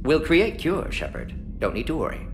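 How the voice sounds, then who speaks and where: An actor playing a brisk male alien scientist who talks in clipped phrases, dropping small words. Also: fairly high pitch, big pitch swings, over music. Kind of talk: video game voice acting.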